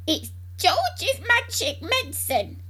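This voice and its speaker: A boastful old grandmother from a children's storybook. She cackles and shouts in a high, shrill voice that swoops wildly as she crows. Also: wildly swooping pitch, measured pace, exaggerated voice. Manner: shouting, crowing.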